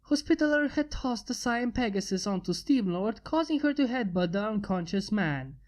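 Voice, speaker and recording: A woman reading fan fiction aloud in a steady narration. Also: on the high side, moderate pace. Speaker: fanfic reader. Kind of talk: amateur fanfic narration.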